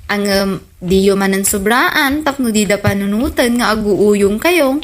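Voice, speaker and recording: A woman's voice: mid-range voice, expressive, unhurried, a little hiss or hum, lightly acted. A woman playing a good-humored daughter with an Ilocano Filipino accent in a radio comedy drama. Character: good-humored grown daughter of old father.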